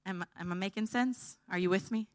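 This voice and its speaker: A woman's voice: mid-range voice, fairly quick. A woman preaching an informal sermon, her voice tired and held back, often checking in with her listeners.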